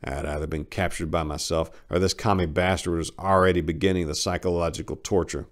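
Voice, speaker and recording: A man's voice: low-pitched, fairly even intonation, fast. A man narrating a short story as a dramatic reading, as its host.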